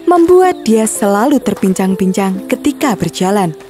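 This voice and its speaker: A woman's voice: mid-range voice, sing-song, normal speed, over music. A woman narrating a scripted children's story.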